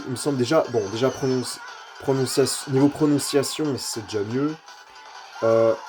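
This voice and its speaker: A man with a head cold gives rambling, casual commentary while playing a racing game, with many fillers and the odd curse. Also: mid-range voice. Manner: rambling and filler-heavy, mild swearing. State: stuffy-nosed with a cold. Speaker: gaming youtuber.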